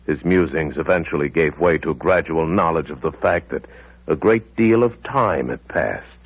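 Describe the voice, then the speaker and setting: Deep-voiced male narrator for a vintage radio thriller, suspenseful, dull muffled old broadcast sound. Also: expressive, moderate pace, no room sound. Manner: suspenseful, building to urgent intensity. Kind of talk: 1940s radio dramatic narration.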